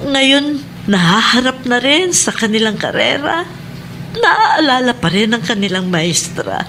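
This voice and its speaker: Casting: kindly middle-aged Filipino teacher, high voice, motherly and proud, radio drama, faint noise behind. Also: very animated, a little slow, a bit bright, full character voice. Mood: proud, moved. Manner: emotional, motherly, affirming. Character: kindly female schoolteacher, warmly proud of former pupil. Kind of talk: Philippine radio drama acting.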